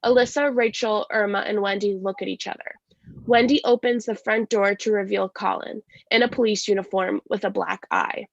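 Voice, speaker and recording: Woman narrating stage directions, vocal fry, dry muffled audio. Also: mid-range voice, fairly even intonation, moderate pace, no room sound. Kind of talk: scripted narration at a staged reading.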